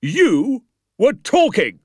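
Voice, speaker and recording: Storybook schoolmaster: military bully, barking and menacing, contemptuous, highly animated, dry close sound. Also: male voice, no room sound, exaggerated voice. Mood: furious. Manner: barking, menacing, drawn-out emphatic delivery.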